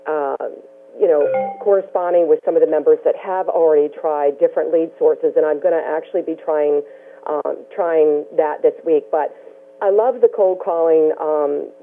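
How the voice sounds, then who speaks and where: A hoarse man with a head cold, calling in and speaking with many ums. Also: fairly high pitch, fairly even intonation, moderate pace, a little hiss or hum. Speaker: caller. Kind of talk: phone-in conference call talk. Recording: tinny phone line.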